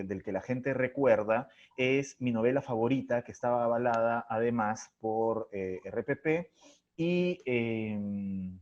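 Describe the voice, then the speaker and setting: A man with a Peruvian accent answering as an interview guest, articulate and discursive, thinking aloud with pauses in a reflective way. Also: medium pitch, darkish tone, lo-fi sound. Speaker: interview guest, radio drama creator.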